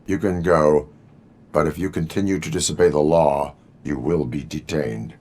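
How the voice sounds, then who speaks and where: Robot cyborg cop for a game, deadpan n formal, lowish voice, big reverb. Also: male voice, moderate pace. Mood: stern, flat. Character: stoic male cyborg police officer. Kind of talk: video game voice acting. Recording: long reverb, faint background noise.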